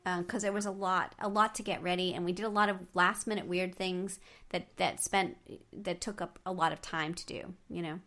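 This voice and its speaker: A woman's voice: lowish voice, fairly even intonation, moderate pace, sharp tone. Woman host rambling casually. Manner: rambling, restarts often, frequent agreeing backchannels. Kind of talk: casual chat.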